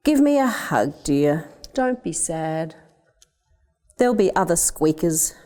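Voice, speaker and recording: A woman's voice: on the low side, big pitch swings, slow, warm tone, in a large hall. A tender Australian mother from a children's storybook voiced by a woman reading it. She speaks soothingly, consoling her child with simple, caring phrases. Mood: comforting.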